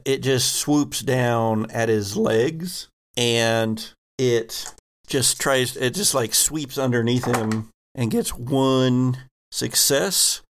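A man narrates a tabletop roleplaying game as its game master. He speaks slowly with a creaky, gravelly edge, in a middle-of-the-road pitch, building his descriptions in halting pieces.

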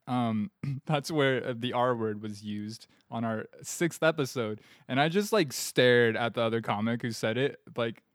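A man's voice: medium pitch, a little slow, hardly any pauses, no room sound, clean studio sound. A man in his thirties, a comedian guest on a podcast, rambling in a self-deprecating, mock-earnest way. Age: 30s.

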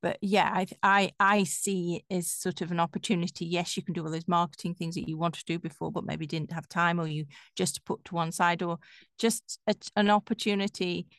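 A woman's voice: fairly low pitch, level tone, fairly quick, a bit bright, no room sound, clean studio sound. A middle-aged woman with a Yorkshire accent, a voiceover artist chatting casually as an interview guest. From Yorkshire, England.

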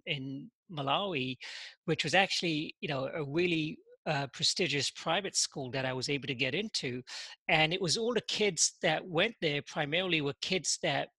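A man, a podcast guest telling his story in casual interview talk, halting and full of "you know" and repeated words. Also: a little high, sharp tone, breathy edge, dry recording. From England.

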